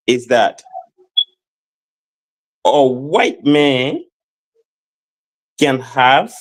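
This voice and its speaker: Guy giving his opinion slow and deliberate, stammers and repeats himself. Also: fairly high pitch, poor audio. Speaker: discussion guest. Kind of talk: lecturing opinion.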